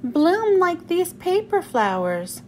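A woman's voice: extremely high pitch, sing-song, takes it slowly, slight background noise, voice pushed up, exaggerated voice. A woman voicing an eager little girl who adores pink, exclaiming expressively in a storybook reading. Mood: eager, hopeful.

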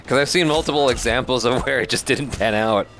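A man's voice: mid-range voice, brisk, noisy background. A man in his thirties giving casual reactions as a video host, speaking into a headset indoors. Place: small indoor streaming room. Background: loud game cutscene soundtrack.

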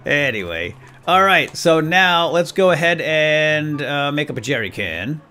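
A man's voice: fairly high pitch, sing-song, measured pace, sharp tone, clear, resonant tone, faint music behind. A man hosting a let's play with casual, folksy, chatty commentary and light role-play, talking directly to viewers.

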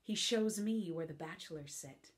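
A woman's voice: on the low side, moderate pace, voice pushed down, natural voice. Woman as a witty, marriage-scorning maiden. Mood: mocking. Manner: teasing, playful. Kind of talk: classical comic monologue.

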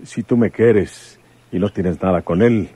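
A man playing an earnest, plain-spoken police commissioner in love, in a radio soap opera, with a husky voice. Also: fairly low pitch, measured pace, warm tone, no room sound. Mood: tender, earnest. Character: older rural police commissioner, earnest devoted suitor. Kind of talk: Spanish-language radio soap opera acting.

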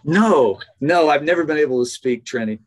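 A middle-aged man, a biologist, talking casually as an interview guest over a video call from his office, relaxed and laughing often. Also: on the high side, normal speed. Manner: relaxed, chatty, laughs often, some restarts. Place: office with bookshelf. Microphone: video call webcam.

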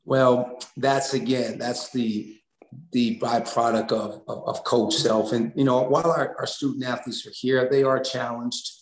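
A man's voice: medium pitch, steady tone, unhurried. Echoey middle-aged American man, earnest. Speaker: interview guest, assistant basketball coach. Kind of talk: conversational interview answers. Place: small indoor room on a video call. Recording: roomy, echoing room sound.

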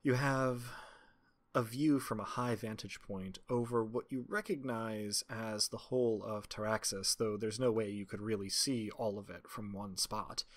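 Low-pitched man running a tabletop game. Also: fairly even intonation, unhurried. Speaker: game master and podcast host. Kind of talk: tabletop game narration and podcast hosting.

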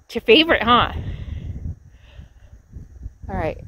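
A woman's voice: fairly high pitch, very animated, slow, pushed and bright, slight background noise, rough recording. Chatty woman vlogging outdoors on a phone. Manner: chatty, exclaiming, talks sweetly to her dog. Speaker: RV travel vlogger. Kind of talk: casual vlog chat to camera and dog. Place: outdoors in windy high desert and inside an RV. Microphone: phone camera at arm's length.

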